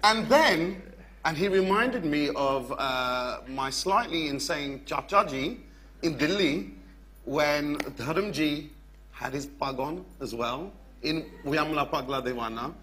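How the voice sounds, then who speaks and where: A man's voice: on the high side, slow, fairly bright tone. A man, putting chatty questions as host of a live on-stage interview. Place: theatre stage before an audience.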